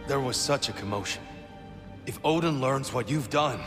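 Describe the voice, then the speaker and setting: An actor playing a gentle, weary freed prisoner god in a video game, measured, reflective and courteous. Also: male voice, medium pitch, faint music behind, close to an everyday voice. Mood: stunned, hesitant. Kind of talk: dramatic video game acting.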